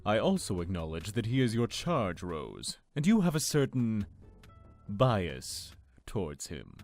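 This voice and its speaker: A man playing a commanding, calculating lord in an audio drama, with a measured, formal and authoritative manner. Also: lowish voice, wildly swooping pitch, darkish tone, full character voice. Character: commanding male lord, calm, calculating leader. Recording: music under the voice.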